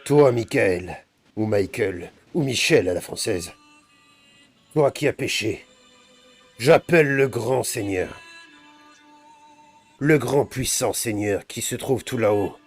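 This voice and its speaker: Man putting on a deep, foul-mouthed old priest. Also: takes it slowly, long pauses, slightly rough, full character voice. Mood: imploring, irritated. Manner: mock-solemn, theatrical, swearing between formal blessings. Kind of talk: improvised online role-play in a video game. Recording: music playing behind the voice.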